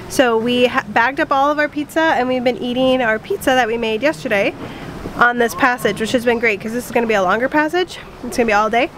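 A woman's voice: fairly high pitch, fairly bright tone. A woman in her thirties chatting casually and a little loosely to a camera held close, out in an open sailboat cockpit. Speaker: sailing vlogger. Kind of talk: casual vlog update to camera. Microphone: camera at arm's length. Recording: clear wind and boat noise.

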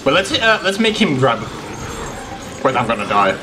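A young man streaming a game from a home room, reacting casually and excitably. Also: mid-range voice, normal speed, background noise. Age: young adult. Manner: excitable, exclaiming. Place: home room indoors, at a desk. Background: game music.

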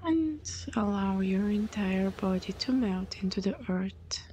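A woman leading a guided meditation in a soothing, breathy voice that often softens almost to a whisper. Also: mid-range voice, lively intonation, measured pace. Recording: clear background noise, ambient sound.

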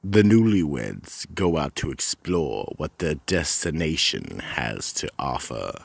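A man playing an ominous, deliberate narrator of a radio mystery drama, with a gravelly, husky voice. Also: a bass voice, sing-song, slight background noise, full character voice. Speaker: narrator and host.

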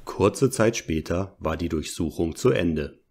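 A man's voice: low voice, expressive, unhurried, a little mellow. A man narrating a parody detective radio play in a scripted storytelling style. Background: guitar music.

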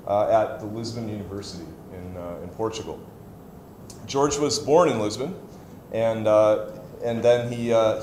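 A man in his fifties introducing a guest lecturer, with a halting delivery full of pauses and restarts. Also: mid-range voice, a little mellow. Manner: halting, many uhs and restarts. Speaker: event host introducing a speaker. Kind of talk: formal introduction. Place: large lecture hall. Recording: echoey lecture hall, faint noise.

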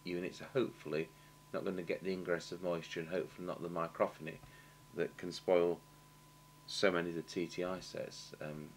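Low-voiced British man over humming noise. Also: little pitch movement. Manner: halting, matter-of-fact, thinking aloud. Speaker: radio repair technician demonstrating. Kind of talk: unscripted technical demonstration commentary. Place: indoor workshop at a bench. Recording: clear background noise and hum.

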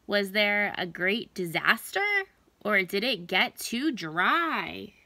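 Bright, animated woman reading to children. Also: mid-range voice, no echo. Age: young adult. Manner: expressive, deliberate, drawn-out emphasis. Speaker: children's story time reader. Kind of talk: reading a picture book aloud to children. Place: small indoor room at home.

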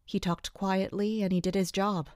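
A woman's voice: medium pitch, little pitch movement, darkish tone, no echo, natural voice. Woman playing serious, guarded mercenary captain, dry sound. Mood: watchful, uneasy. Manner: measured, serious, controlled. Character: dutiful, guarded female captain of a mercenary band. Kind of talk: scripted first-person audio drama monologue.

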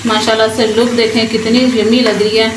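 A woman's voice: fairly high pitch, level tone, a little fast. A woman hosting a home cooking channel, explaining each step in a chatty, instructive way and often adding short blessings. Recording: echoey kitchen with frying noise. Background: frying and stirring sounds.

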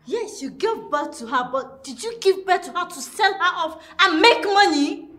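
An outraged defender in a melodrama. Her voice is extremely high and swoops in indignant challenge. Faint music plays behind. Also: animated, moderate pace, sharp tone, reverberant, heavily acted. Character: outraged, combative female defender. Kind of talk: screen melodrama acting.

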